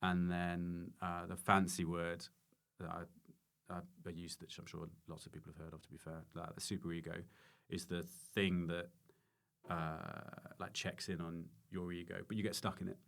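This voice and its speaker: Very deep-voiced man chatting. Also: monotone, moderate pace, vocal fry, a bit bright. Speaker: stand-up comedian, podcast guest. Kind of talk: casual podcast chat.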